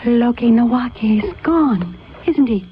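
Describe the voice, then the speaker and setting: A woman's voice: on the high side, expressive, unhurried. An actress playing a light-hearted young enchantress in love, with a wondering, girlish delivery in a 1970s radio drama. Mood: wondering, delighted. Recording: phone-like old radio recording, faint music.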